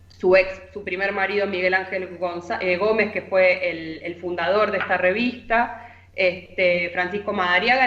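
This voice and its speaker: A woman with an Argentine accent giving conversational literary commentary as a columnist, explaining hesitantly and often checking in with short tag questions. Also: mid-range voice. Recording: echoey, muffled remote connection.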